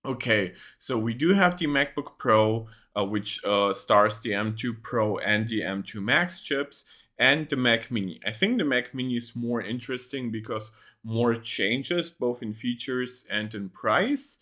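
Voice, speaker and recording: A man's voice: medium pitch, animated, a little slow, sharp tone. A young man hosting a casual tech reaction livestream from a home setup on a desk mic, rambling with many ums and restarts.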